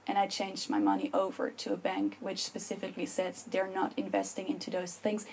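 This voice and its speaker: A woman's voice: medium pitch, warm tone, slightly rough. A young woman with a Dutch accent gives an earnest, persuasive talk to an audience, with frequent fillers and restarts. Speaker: speaker giving a talk.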